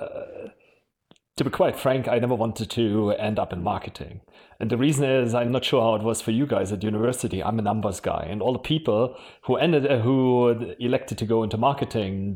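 A man's voice: fairly low pitch, darkish tone. A man with a German accent, a podcast guest who talks frankly and tells stories about his career in a casual interview. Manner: frank, storytelling, laughs often. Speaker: podcast guest, marketing executive.

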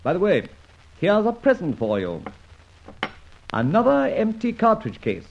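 An actor playing a suave, self-assured gentleman adventurer with an arch, taunting manner, in the style of a vintage radio serial. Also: high-pitched, huge pitch swings, a little slow, creaky, a little hiss or hum, heavily acted. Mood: mocking, confident. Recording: muffled old radio recording.

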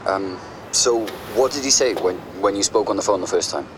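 Actor as low-voiced direct male colleague. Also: expressive, moderate pace, close to an everyday voice. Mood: probing, reassuring. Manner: probing, direct. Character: brisk, exacting male colleague, questioning then reassuring. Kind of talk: naturalistic audio drama phone conversation. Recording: clear street and vehicle noise behind.

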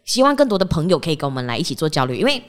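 Fast-talking, low-voiced Malaysian woman podcast host. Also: big pitch swings. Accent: Malaysian Chinese-language. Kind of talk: casual podcast chat.

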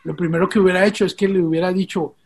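A man's voice: sits high, steady tone, racing, darkish tone, breathy edge. A man, born in Venezuela and raised in Rico, hosting the conversation and telling a story in an earnest, heartfelt way. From Venezuela, raised in Puerto Rico. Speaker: host.

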